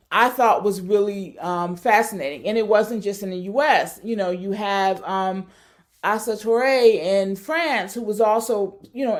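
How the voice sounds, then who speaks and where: A woman giving a thoughtful research talk, searching for words and often correcting herself. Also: medium pitch. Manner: thoughtful, searching, many fillers and self-corrections. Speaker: academic speaker.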